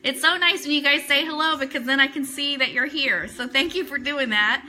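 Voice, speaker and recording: A woman's voice: high voice, a little fast, bright, forceful tone. A woman chatting casually and enthusiastically as host of a fan talk show livestream, greeting viewers as she goes. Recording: music playing in the background. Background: Christmas music.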